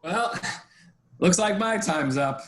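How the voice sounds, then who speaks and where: A man's voice: high voice, lively intonation, slow pace, fairly bright tone, heavily acted. A man playing a weary, guarded husband and secret accomplice in a 1940s radio noir. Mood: guarded, conspiratorial.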